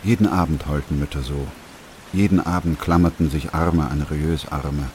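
An actor playing a sober, dutiful doctor who also narrates a radio drama, in a measured and restrained manner. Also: male voice, very deep voice, fairly even intonation, a little mellow, a little hiss or hum. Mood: grave narration. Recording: music behind the voice. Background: music.

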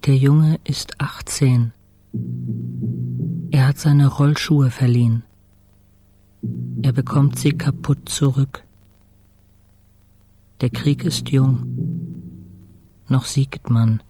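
A weary man in intensive care, telling his story in a radio play. His mid-pitched voice stays flat and slow, in terse, brooding fragments. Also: breathy edge. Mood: sober, remembering. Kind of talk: scripted first-person radio drama narration.

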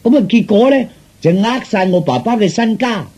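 A male comedian acts out a wisecracking young Cantonese cousin for a radio play. The voice is raised and very lively, with a rough, husky edge. He exclaims and teases with heavy emphasis. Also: high-pitched, moderate pace. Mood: indignant.

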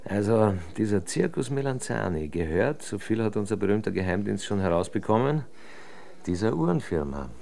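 A smug male investigator in a radio drama. His voice sits fairly low with a gravelly creak. He reasons in a dry, pedantic, lecturing way, full of irony. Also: sing-song, moderate pace, warm tone. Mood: smug, explaining. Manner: ironic, lecturing, pompous. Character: wry, pedantic male criminal investigator, smugly theorizing. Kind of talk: German radio drama dialogue.

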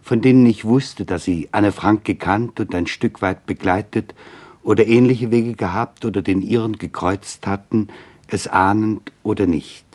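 A man reading literary narration for radio, measured and deliberate, pausing for effect. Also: on the low side, a little mellow.

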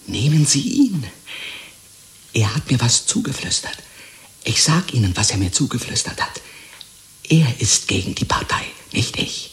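Radio drama role: panicked male prisoner, sharp forceful tone, begging repetitively in an echoey room. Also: mid-range voice, big pitch swings, unhurried, pauses often. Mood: hysterical, frantic. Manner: pleading, repetitive, rising to hysteria. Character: terrified, broken male prisoner, frantically begging and betraying. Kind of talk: radio play acting. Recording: echoey room, faint noise.

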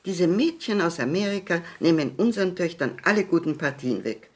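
An actress playing a stern, disapproving older aristocratic matron in a 1960s German radio play, haughty and pointed. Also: female voice, on the low side, animated, brisk, husky.

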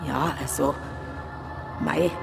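An affronted Bavarian mother, fretting about shame. Her voice is very creaky and slow, in a roomy echo with loud music behind it. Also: low voice, fairly even intonation, full character voice. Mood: indignant, fretful. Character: indignant mother fretting over shame of daughter's suicide. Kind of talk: radio drama acting.

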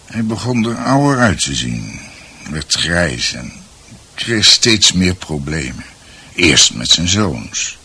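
An actor playing a thoughtful middle-aged storyteller, deliberately searching for words as he recounts a family history in radio drama. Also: low-pitched, big pitch swings, bright, forceful tone, slightly rough, exaggerated voice. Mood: reflective. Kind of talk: radio drama narration in conversational tone.

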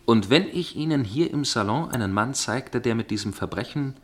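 An actor playing an inquisitive, suspicious dinner guest who tells his story in a measured, articulate way in a German radio play. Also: medium pitch, expressive, natural voice. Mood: suspicious, probing.

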